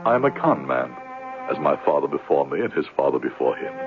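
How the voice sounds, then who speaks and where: An actor in a 1970s radio drama playing a smooth-tongued con man and medicine-show pitchman with florid, pompous phrasing. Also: big pitch swings, brisk, music in the background, full character voice. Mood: wistful, confiding. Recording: tinny, noisy 1970s radio recording.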